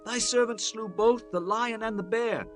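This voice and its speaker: A man's voice: high-pitched, unhurried, fairly bright tone, faint music behind. A man playing a bold, earnest young shepherd in a dramatized scripture reading, speaking in a declamatory way. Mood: bold, confident.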